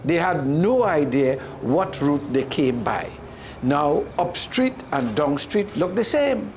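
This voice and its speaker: This is a Caribbean storyteller reading a folk tale aloud. The delivery is expressive, with a pitch that moves up and down in a lively way. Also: takes it slowly, a little hiss or hum.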